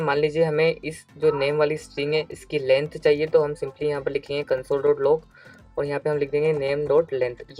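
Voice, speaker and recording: A man's voice: a little high, darkish tone. A man with an Indian accent narrating a step-by-step programming tutorial as an instructor, explaining and repeating key phrases.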